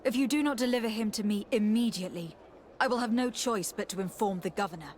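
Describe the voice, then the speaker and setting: A woman's voice: mid-range voice, fast talker, darkish tone, a little hiss or hum, lightly acted. A woman playing a composed, articulate, authoritative noble diplomat in a video game. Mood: firm.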